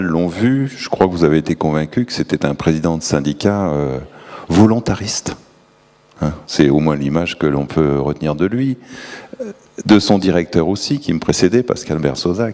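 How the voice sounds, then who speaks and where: Man, very low and mellow voice, halting panel talk, long hall reverb. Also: expressive. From Tours, France. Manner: hesitant, many fillers and restarts. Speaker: energy syndicate official on a panel. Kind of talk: conference panel remarks. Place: large conference hall.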